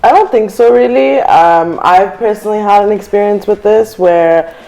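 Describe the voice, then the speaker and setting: A woman's voice: medium pitch, moderate pace, gravelly, dark tone, rough recording. A young adult woman from Syria, a panel guest who tells personal stories in a chatty way with many ums and frequent laughter. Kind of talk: casual panel discussion.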